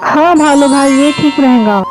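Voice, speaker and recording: A woman's voice: sits high, unhurried, poor audio. A woman voicing a greedy, wicked male wolf in a cartoon story, sly and gloating. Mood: fawning. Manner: sly, gloating, then fawning. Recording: background music.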